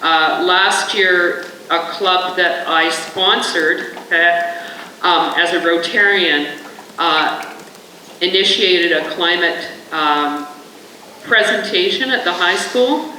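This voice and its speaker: A woman's voice: fairly low pitch, takes it slowly, bright, forceful tone, slight background noise. A woman, a school trustee, making an earnest, rambling point from the audience at a panel. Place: large conference hall. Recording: echoey hall, mic from a distance.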